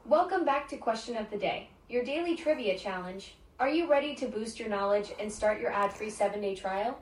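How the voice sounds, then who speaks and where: A woman's voice: fairly high pitch, normal speed, slight background noise. Synthetic woman assistant voice, echoey. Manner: polite, even, scripted phrasing. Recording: echoey room from a smart speaker.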